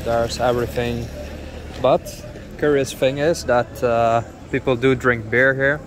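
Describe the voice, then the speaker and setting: Flat-voiced man vlogging outdoors. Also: medium pitch, background noise. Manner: relaxed, explanatory, many "uh" pauses. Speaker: travel vlogger. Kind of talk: casual travel vlog narration. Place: outdoors on village streets and in a parked car.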